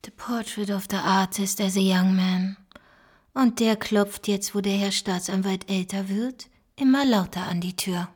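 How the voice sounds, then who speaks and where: An actress playing an inquisitive, bookish investigator, musing in a slightly conspiratorial way in a radio play. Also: female voice, mid-range voice, a little slow, darkish tone, lightly acted. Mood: intrigued.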